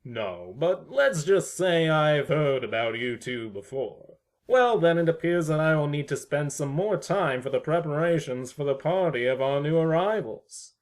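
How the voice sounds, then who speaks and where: A man's voice: fairly high pitch, animated, unhurried. A man playing a mysterious, knowing tenant with a formal, theatrical, wordy manner in an audio drama. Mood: grandiose. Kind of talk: fan audio drama acting.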